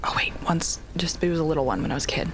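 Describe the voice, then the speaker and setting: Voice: mid-range voice, animated, very fast, in a whisper. A player talking casually and hesitantly while roleplaying in a tabletop game. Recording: music clearly behind the voice. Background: plucked guitar music.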